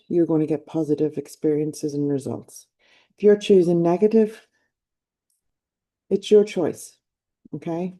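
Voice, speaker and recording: A woman's voice: deep voice, mellow. A middle-aged woman with an Irish accent, giving an enthusiastic motivational talk as a personal development coach. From Ireland. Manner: enthusiastic, upbeat.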